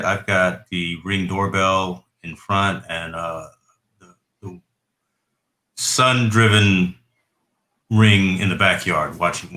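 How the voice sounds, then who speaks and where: A deep-voiced man hosting a casual tech chat, with a bright, forceful tone. He rambles easily and restarts his sentences. His voice rings in an echoey room. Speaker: host.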